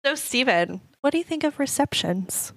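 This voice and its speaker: A woman co-hosting a casual wedding podcast with her husband, joking and laughing often, her voice a bit hoarse and deep. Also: expressive, moderate pace, dry recording. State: slightly hoarse, voice gone deep. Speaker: podcast co-host.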